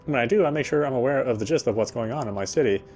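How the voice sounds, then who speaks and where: A man voicing a brisk wry detective. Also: mid-range voice, a little mellow, faint music behind, lightly acted. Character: weary sharp-eyed male city detective. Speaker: detective narrator. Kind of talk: first-person game narration.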